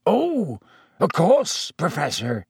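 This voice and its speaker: A big, husky storybook gamekeeper, performed by a male narrator, tender-hearted and easily overcome. His plain speech rises high and swings with feeling. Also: huge pitch swings, slow pace, no echo, clean studio sound, full character voice. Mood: weak happiness. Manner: emotional, plain-spoken.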